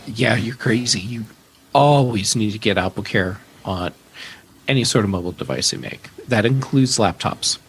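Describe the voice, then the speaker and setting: A man's voice: lowish voice, normal speed, breathy edge. A man speaking as a podcast guest in casual tech chat, conversational and emphatic.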